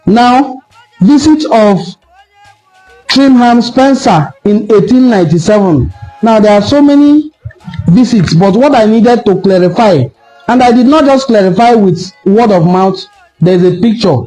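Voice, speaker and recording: Nigerian guy ranting on camera, high pitched but mellow, soft music behind. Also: expressive, unhurried, rough recording. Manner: argumentative, rhetorical questions. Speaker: cultural commentator. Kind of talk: impassioned online talk.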